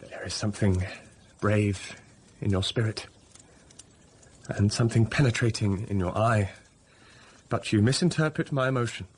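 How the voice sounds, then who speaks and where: A man's voice: on the low side, normal speed, slight background noise, close to an everyday voice. An actor playing a stern, self-denying young clergyman in a period radio drama, speaking formally and measuredly. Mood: intense, austere.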